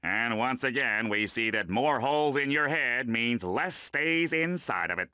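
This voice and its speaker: A man voicing a sour, bored, sarcastic octopus in a cartoon video game, nasal, deadpan and dismissive. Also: mid-range voice, unhurried, smooth, no room sound, studio quality.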